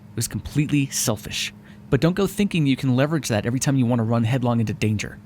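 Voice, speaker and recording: A man's voice: medium pitch, fast, a little mellow, a little hiss or hum, close to an everyday voice. A man voicing a sarcastic, foul-mouthed vampire who is grudgingly helpful and hungry, speaking intimately to the listener in an audio roleplay. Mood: grudging, dry. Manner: sarcastic, wry, exasperated, conversational. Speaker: roleplay voice actor.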